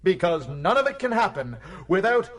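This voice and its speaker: An actor playing a fervent orator addressing an assembly in a radio drama, with grandiose, preacherly emphasis. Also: male voice, sits high, big pitch swings, normal speed, distant mic, heavy background noise. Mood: uplifting. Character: fervent male rabble-rousing orator addressing a community assembly. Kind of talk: theatrical political speech in radio drama.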